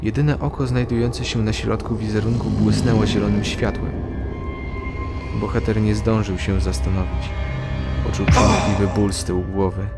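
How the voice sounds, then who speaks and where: Casting: male narrator, lowish voice, dramatic scripted fantasy storytelling over clear background music. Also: level tone, normal speed.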